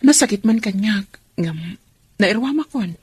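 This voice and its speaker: A woman's voice: medium pitch, expressive, a little slow, darkish tone, breathy edge. A woman with an Ilocano accent acting in a family radio drama. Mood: hurt, confiding.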